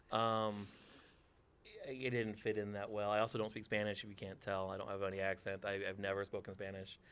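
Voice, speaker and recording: A man's voice: lowish voice, a bit bright, smooth. A man in his forties from Texas, a theater teacher answering interview questions with dry, teasing humor.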